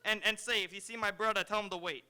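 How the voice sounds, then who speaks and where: A man's voice: high voice, fairly even intonation, fast, bright, forceful tone, smooth. A man playing a cheeky, dim-witted comic office assistant in broken English with a put-on Italian accent, in a stage radio comedy. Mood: eager, dim. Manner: wisecracking, literal-minded. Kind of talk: 1930s comedy radio play acting. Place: auditorium stage. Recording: live stage radio play.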